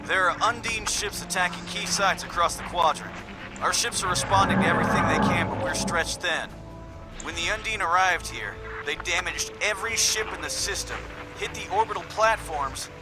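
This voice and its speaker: A man's voice: sits high, moderate pace, over music, lightly acted, low-quality recording. A man playing a harried space station officer in a video game, urgently calling for help in a strained voice.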